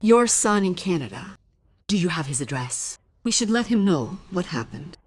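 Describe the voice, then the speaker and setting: An actress playing a patient, soothing woman at a bedside in a radio drama, gentle and reassuring. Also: lowish voice, big pitch swings, moderate pace, breathy edge. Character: patient, soothing female hospital visitor.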